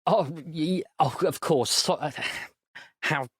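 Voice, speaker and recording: A man's voice: a little high, takes it slowly, husky, no room sound, clean studio sound, voice pushed up. A man voicing a nervous chauffeur who is overly polite and stumbles over his own name. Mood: flustered. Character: nervous, fumbling male chauffeur.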